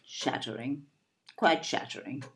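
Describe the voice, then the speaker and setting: A woman's voice: a bass voice, measured pace, vocal fry, exaggerated voice. A woman acting in an audio play as an indignant, snobbish older English mother, fussy and emphatic, with an English accent. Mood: aggrieved disbelief. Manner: emphatic, repetitive, tag questions.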